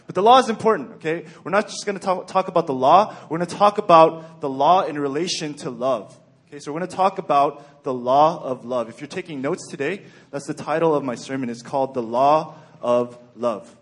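A young man from Texas giving a sermon in a calm, even-keeled way with frequent ums and okays. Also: a little high, fairly quick. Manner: even-keeled, plain-spoken, frequent "um" and "okay". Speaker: church speaker.

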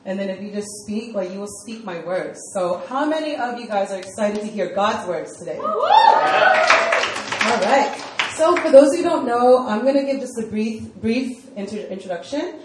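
A woman's voice: mid-range voice, expressive, normal speed, darkish tone. Chatty young American woman in an echoey hall. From United States (Virginia). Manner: chatty, lots of "like" fillers, laughs easily. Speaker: campus ministry director. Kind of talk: casual personal testimony sermon. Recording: echoey hall with faint room noise.

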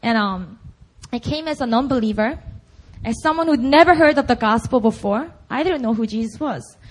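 A woman's voice: on the high side, animated, normal speed. A young woman from Busan, a native Korean speaker with a Korean accent, giving a chatty, emphatic sermon full of "like" and "right?". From Busan, South Korea. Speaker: preacher. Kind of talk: conversational sermon.

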